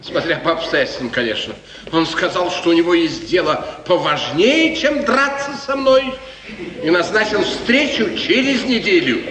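An actor playing a scheming, fawning court chamberlain in a theatrical, insinuating 1950s radio style. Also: male voice, sits high, expressive, normal speed, crowd noise. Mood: smooth, self-justifying. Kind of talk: 1950s radio play acting. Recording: echoey hall, distant, noisy 1950s radio recording.

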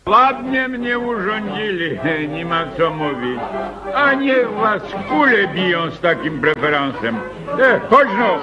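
An actor playing a blunt, jovial, teasing old uncle in a theatrical radio play, full of folksy grumbling. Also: male voice, sits high, big pitch swings, slow, exaggerated voice. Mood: grumbling, annoyed. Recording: muffled radio play, loud music behind.

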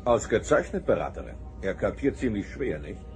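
An actor playing an arrogant, all-powerful alien trickster in a radio drama, sardonic, pompous and taunting. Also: male voice, fairly low pitch, very animated, moderate pace, music in the background. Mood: mocking.

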